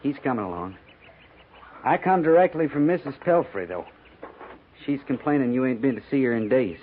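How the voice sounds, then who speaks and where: An actor playing a plain-spoken, soft-hearted frontier sergeant, folksy, hesitant and respectful, in a 1950s western radio drama. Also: male voice, medium pitch, expressive, moderate pace, close to an everyday voice. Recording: tinny, faintly noisy 1950s radio recording.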